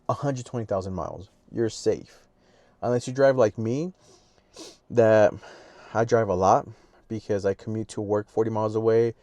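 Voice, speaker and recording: A man's voice: lowish voice, warm tone, dry recording. A man with a Mexican Spanish accent vlogging casually at a public charger, rambling and self-deprecating. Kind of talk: casual vlog to camera. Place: outdoors at a public car charger.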